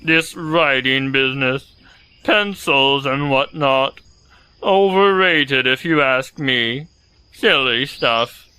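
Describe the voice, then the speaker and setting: A man playing a gloomy, self-pitying donkey who grumbles pompously in a slow, halting, sardonic way in an audio storybook drama. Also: medium pitch, sharp tone, smooth, no echo, low-quality recording. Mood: self-pitying, dismissive.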